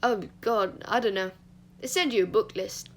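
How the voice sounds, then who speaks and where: A woman acts out a wounded teenage schoolboy in a storybook. He is surly and bitter, speaking in clipped, curt phrases with a gravelly creak in the voice. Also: mid-range voice, moderate pace, lightly acted. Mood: groggy, irritated. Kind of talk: acted audiobook dialogue.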